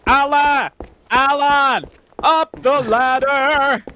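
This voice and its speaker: Man acting a high-voiced, defiant young rebel. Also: steady tone, drawn-out words, bright, forceful tone, slight background noise, heavily acted. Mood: urgent. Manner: bold, dramatic, scornful. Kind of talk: sci-fi radio play acting.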